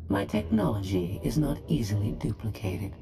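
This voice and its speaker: A woman's voice: a bass voice, big pitch swings, normal speed, music in the background, exaggerated voice. A woman voicing a proud, disdainful droid intelligence in a game, formal, clipped and detached. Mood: cold, haughty. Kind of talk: scripted game cutscene dialogue.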